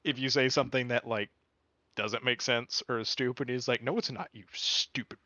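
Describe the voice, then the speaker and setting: A man explains things in a loose, rambling way, full of fillers like "like" and "you know". His mid-pitched voice comes through a dull, slightly muffled mic with no room sound. He is chatting casually with friends. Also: fairly even intonation, normal speed, bright, forceful tone, dry recording. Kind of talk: casual chat.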